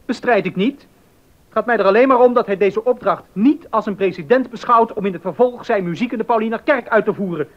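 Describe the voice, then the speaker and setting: A man's voice: high voice, fairly quick, lightly acted. A male actor playing an aggrieved, insistent church musician in a docudrama, formal and indignant in manner. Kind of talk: Dutch docudrama acting. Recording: dull lo-fi recording.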